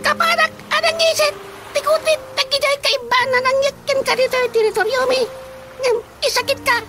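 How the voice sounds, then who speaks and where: A woman voicing a small, territorial, scolding creature with a squeaky voice in a comedic radio drama. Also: steady tone, normal speed, music in the background. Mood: indignant.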